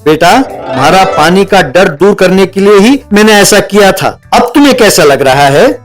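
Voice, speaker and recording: Kindly talking tree for a storybook cartoon, played by a man: fatherly, reassuring, gentle. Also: sits high, expressive, normal speed, soft background music. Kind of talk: Hindi cartoon storybook dialogue.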